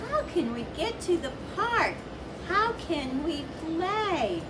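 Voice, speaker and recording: A woman reading the part of an excitable, easily discouraged gorilla in a children's picture book, with exclaiming, plaintive, childlike delivery. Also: extremely high pitch, slow, voice pushed up, exaggerated voice. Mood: dismayed. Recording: distant mic with loud background noise.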